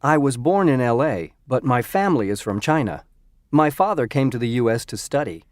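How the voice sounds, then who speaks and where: An actor playing a friendly young male university student in a scripted textbook dialogue, speaking clearly. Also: mid-range voice, fairly quick, no echo, clean studio sound, natural voice. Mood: chatty. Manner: lively, clearly enunciated. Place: recording studio.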